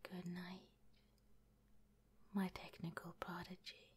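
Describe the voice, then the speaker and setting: A woman voicing a gentle, caring female head scientist in an ASMR roleplay, whispering softly and reassuringly. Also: lowish voice, completely flat delivery, takes it slowly. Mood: soothing. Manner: soft, tender, reassuring. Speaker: institute director in an audio roleplay.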